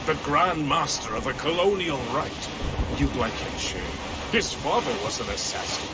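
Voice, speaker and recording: A man acts an amiable, slightly arch gentleman officer for a video game. His voice is high and animated as he chats genteelly, with heavy outdoor noise around him. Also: moderate pace, close to an everyday voice. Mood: friendly, confiding. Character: genteel, chatty, amiable colonial Templar officer. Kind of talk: video game cutscene acting. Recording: loud outdoor and vehicle-like noise behind.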